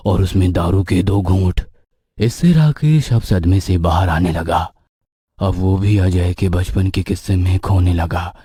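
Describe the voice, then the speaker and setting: Low husky man narrating horror. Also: warm tone, dry recording, studio quality. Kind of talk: scripted Hindi horror story narration.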